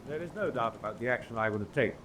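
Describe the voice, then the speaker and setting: A man portrays a haughty U-boat officer in a radio drama. He speaks in a mid-pitched voice with clipped, unshakeable confidence, and faint noise sits behind him. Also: animated, very fast, a bit bright, lightly acted. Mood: haughty, assured. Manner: boastful, certain, clipped. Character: proud, self-assured U-boat lieutenant commander. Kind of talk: radio drama courtroom acting.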